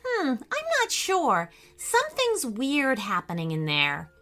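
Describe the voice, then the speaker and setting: Woman voicing an uneasy, suspicious young schoolboy, high-pitched. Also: huge pitch swings, takes it slowly, fairly bright tone, soft background music, exaggerated voice. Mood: hesitant, suspicious. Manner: hesitant, wondering, emphatic.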